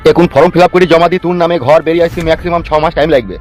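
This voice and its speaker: Guy doing a pushy sleazy cartoon village boss, rural west bengal accent, super quick talker, music behind. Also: fairly high pitch, fairly even intonation, lightly acted. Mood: scheming, coaxing. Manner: brusque, domineering, coaxing. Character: lecherous, bullying male village politician, foul-mouthed and scheming. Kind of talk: crude comic cartoon dialogue. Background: background music.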